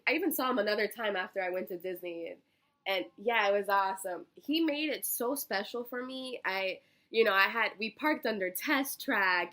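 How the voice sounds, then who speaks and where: Young American woman, early twenties, bright forward voice, effusive and chatty, as an eager interview candidate. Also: mid-range voice. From Miami, Florida, United States. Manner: bubbly, effusive, giggly, chatty. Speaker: job interview candidate, college student. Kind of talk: casual phone interview answers.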